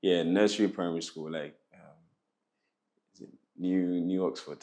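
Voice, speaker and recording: A man in his thirties from Ghana, telling his life story in a relaxed interview with many pauses and fillers. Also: low-pitched. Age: 30s. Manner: hesitant, many fillers, laughs often. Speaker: interview guest.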